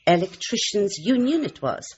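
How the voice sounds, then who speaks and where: A woman's voice: medium pitch, very animated, normal speed, a little mellow, lo-fi sound, slight background noise, natural voice. A woman playing a chatty, bossy, motherly older housekeeper in a radio drama, fussing and indignant. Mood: indignant, gossipy.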